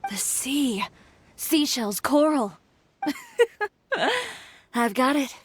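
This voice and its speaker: A woman's voice: high voice, extremely slow, breathy edge, dry recording, exaggerated voice. A woman playing a proud, determined young fashion designer in a video game, self-assured and matter-of-fact with a dry edge. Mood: inspired, decisive. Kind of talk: video game character dialogue.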